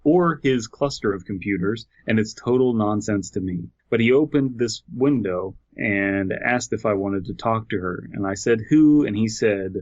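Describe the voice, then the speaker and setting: A man's voice: lowish voice, normal speed, hardly any pauses, darkish tone, muffled recording. A man co-hosting a podcast, casually reading an online horror story aloud and commenting on it. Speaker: podcast co-host.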